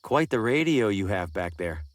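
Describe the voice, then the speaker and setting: A man's voice: lowish voice, sing-song, moderate pace, no room sound. An actor playing a bemused, jittery traveling salesman with wry asides in an adventure video game. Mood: curious, dry. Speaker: player character in adventure game.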